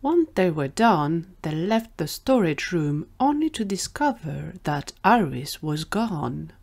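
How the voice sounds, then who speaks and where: A woman reads scripted fiction as the narrator of an audio drama. Her voice sits a little low for a woman. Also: big pitch swings, takes it slowly, vocal fry, darkish tone.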